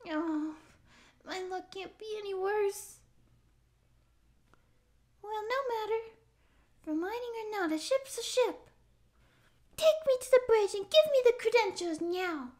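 Very high-pitched timid catgirl space pirate. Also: expressive, measured pace, pauses often, darkish tone. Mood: dismayed, stubborn. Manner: nervous bluster that slips into meek rambling. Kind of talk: scripted ASMR audio roleplay.

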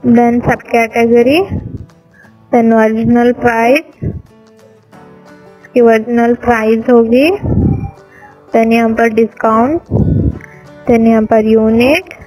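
A woman talks viewers through an app one step at a time, her voice sitting a little high. She calls her audience friends and explains each tap plainly. Soft music plays faintly under her voice-over. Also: little pitch movement, takes it slowly, pauses often, low-quality recording. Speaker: app tutorial presenter. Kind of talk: screen-recorded app walkthrough. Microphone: voice-over over screen recording.

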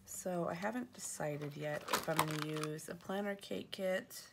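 Sniffly woman with a gravelly low voice. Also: moderate pace, heavy background noise. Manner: chatty, rambling with fillers and self-corrections. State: sniffly from allergies. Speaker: planner vlogger. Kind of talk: casual show-and-tell narration. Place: at home at a table.

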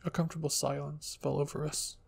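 A man narrating a first-person story in a scripted ASMR reading style, with a husky, gravelly voice. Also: a little high, flat delivery, a little fast. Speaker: fan fiction reader and narrator.

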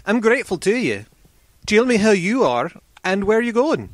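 A man voicing a sharp, hearty, loyal axe-man in a storybook, with a forthright manner. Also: high voice, big pitch swings, normal speed, no echo, pitched above the performer's own voice. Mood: grateful, hearty.

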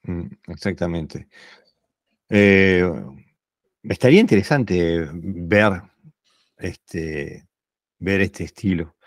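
Low-voiced Argentine podcast host, dry sound. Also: male voice, drawn-out words, long pauses, no echo. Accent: Rioplatense Spanish. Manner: agreeable, brief backchannels, laughs easily. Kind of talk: casual podcast conversation.

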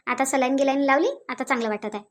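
Woman acting a high-voiced, gentle young village wife. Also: quick pace, close to an everyday voice. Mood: dutiful, anxious. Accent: Varhadi Marathi of Vidarbha. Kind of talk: family cartoon dialogue.

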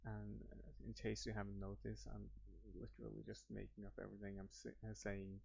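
A young man with a Norwegian accent talks over his screen recording, drifting and restarting his sentences with plenty of fillers. His voice sits low, with a gravelly edge. The recording is dry and a bit muffled. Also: completely flat delivery, a little fast, darkish tone, no echo. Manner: rambling, hesitant, many fillers and restarts. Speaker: YouTuber. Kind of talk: improvised screen-recording walkthrough. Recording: muffled dry home mic.

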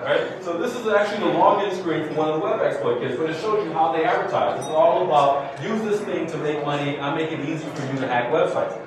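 A man with a fairly high voice delivers a keynote presentation to an audience. He punctuates his points with rhetorical questions and short checking tags. The room echoes heavily around him, and he sounds distant from the microphone. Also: fast, few pauses. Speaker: keynote speaker, security researcher. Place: indoor conference room with seated audience. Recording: very echoey room, distant mic, clearly noisy.

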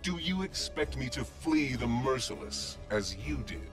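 Slow, menacing god of war. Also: male voice, medium pitch, big pitch swings. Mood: proud menace. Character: proud, menacing god of war, grandiose villain. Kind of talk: video game cutscene acting. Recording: loud music behind the voice.

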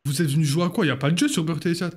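A young man streaming from his home gaming setup, teasing strangers on a video chat, deliberately mishearing them and acting offended. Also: a little high, husky. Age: young adult. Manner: plays dumb, mishears on purpose, mock-offended.

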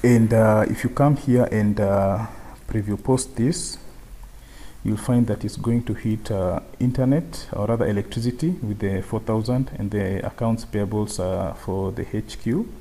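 A man with a low, mellow voice and a husky edge walks through a software tutorial. He explains each step in turn in an unscripted way, often circling back over the same phrases. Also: unhurried. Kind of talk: unscripted screen-share tutorial.

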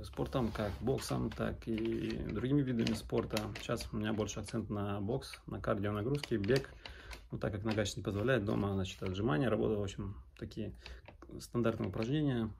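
Low, gravelly Russian man from Arkhangelsk. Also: fairly even intonation, a little fast, noisy background. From Arkhangelsk, northern Russia. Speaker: sailor vlogger. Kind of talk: casual question-and-answer vlog. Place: small indoor room at home.

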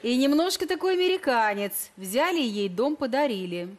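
A middle-aged woman hosting a television dating show, questioning a guest with quick, ironic teasing. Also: sits high, animated. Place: large television studio.

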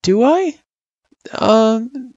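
A storyteller voicing a young girl, an eager but unsure pupil who speaks earnestly and haltingly in an audiobook-style story. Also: mid-range voice, animated, darkish tone, no room sound, lo-fi sound, pitched above the performer's own voice. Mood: hesitant, worried. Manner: hesitant, stammering, earnest.

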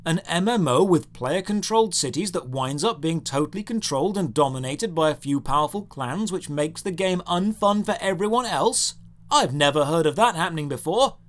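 A man's voice: fairly high pitch, animated, moderate pace. A British man narrating a scripted comedic game review in a sarcastic, mock-grandiose, theatrical manner. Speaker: video game reviewer and host.

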